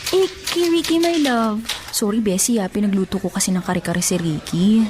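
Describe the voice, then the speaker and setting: A woman's voice: mid-range voice, moderate pace, background noise, lightly acted. An actress playing a lovestruck, playful young office woman in a radio drama, coy and girlish, with a Filipino accent.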